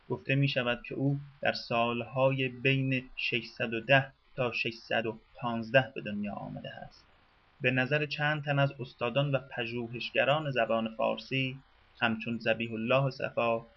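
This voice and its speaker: A man narrating a biography in a measured, formal reading style. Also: medium pitch. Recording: dull lo-fi radio recording.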